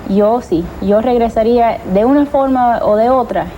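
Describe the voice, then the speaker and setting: A woman's voice: fairly high pitch, normal speed, mellow, a little hiss or hum, poor audio. A woman, answering interview questions in an earnest, explanatory way. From Miami, United States. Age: 30s. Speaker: interviewee, lawyer. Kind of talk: documentary interview, conversational.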